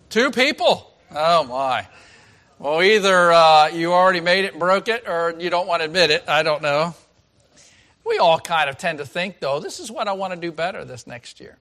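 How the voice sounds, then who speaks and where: A pastor with a raspy voice preaching a sermon in a folksy, chatty way, asking the congregation questions. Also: male voice, on the high side, sharp tone. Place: church.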